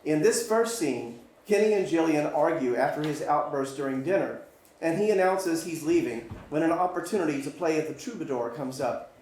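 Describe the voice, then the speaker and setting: A man's voice: on the high side, normal speed, a little mellow, a little hiss or hum. A man, a screenwriter, giving a prepared live introduction to his script. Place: live stage venue. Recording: very echoey live hall.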